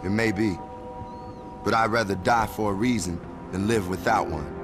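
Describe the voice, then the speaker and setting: An actor playing a cocky demolitions fighter in a video game, with a brash, folksy, slangy way of talking. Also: male voice, lowish voice, moderate pace, loud music behind the voice, lightly acted. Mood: resolved. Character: cocky, wisecracking male resistance fighter and demolitions man. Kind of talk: dramatic video game acting.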